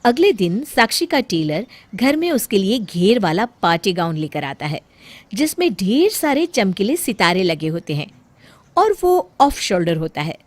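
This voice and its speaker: Expressive, unhurried woman storyteller. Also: mid-range voice, no echo. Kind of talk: expressive scripted story narration.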